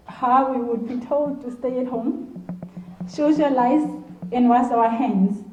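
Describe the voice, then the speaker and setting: A woman giving a formal public speech at a heritage event, measured, with emphatic pauses. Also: high voice, a little hiss or hum. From Namibia. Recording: tinny lo-fi sound in an echoey room.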